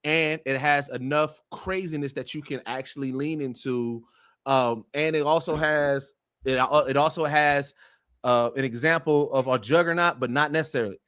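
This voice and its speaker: A man's voice: on the high side, fairly even intonation, normal speed, fairly bright tone, no room sound, clean studio sound. A man on a podcast panel chatting casually, eagerly explaining his ideas in slangy speech. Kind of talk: casual chat.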